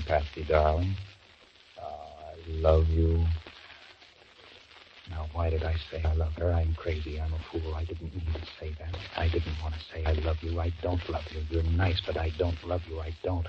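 A man's voice: very deep voice, monotone, normal speed, highs cut off. An actor playing a nervous, cowardly young man in an old radio drama, muttering anxious thoughts and sweet-talking a woman. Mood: coaxing. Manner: anxious muttering asides, then coaxing sweet talk. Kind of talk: 1940s radio acting with inner monologue. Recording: scratchy, hissy old radio recording.

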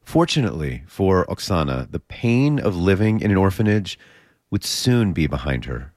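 A man reading scripted documentary narration in a measured storytelling way. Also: sits low, no room sound, clean studio sound.

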